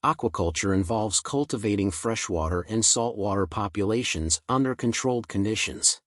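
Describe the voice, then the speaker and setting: A man reading informative practice passages aloud at a measured, even delivery. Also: fairly low pitch, no room sound, clean studio sound. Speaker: narrator of test practice texts. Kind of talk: scripted read-aloud of exam practice passages.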